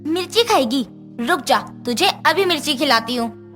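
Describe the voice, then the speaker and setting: Woman acting a bright, high-voiced young daughter-in-law. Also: lively intonation, normal speed, soft background music. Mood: fierce, vengeful. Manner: dramatic, swings from meek pleading to menace. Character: put-upon young daughter-in-law, tearful, turning fierce when possessed. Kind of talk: Hindi moral-story cartoon dubbing.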